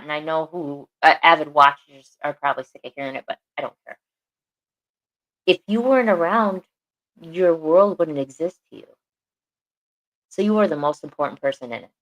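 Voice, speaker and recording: Earnest woman, lower voice. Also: poor audio. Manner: earnest, repeats phrases for emphasis. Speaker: podcast co-host. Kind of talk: casual podcast chat.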